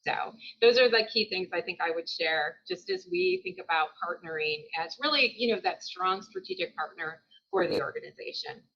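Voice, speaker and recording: Bright-toned woman on a video panel. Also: fairly low pitch, big pitch swings, creaky. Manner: measured, many "um" pauses. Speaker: panelist, procurement executive. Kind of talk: virtual panel talk. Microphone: video call. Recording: dull video-call audio.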